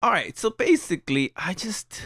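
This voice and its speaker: A man's voice: high-pitched, sing-song, moderate pace, fairly bright tone, breathy edge, dry recording, clean studio sound. A man co-hosting a podcast with a Southern Californian accent, chatting casually and rambling through a story while laughing at it. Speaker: podcast co-host. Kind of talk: casual chat.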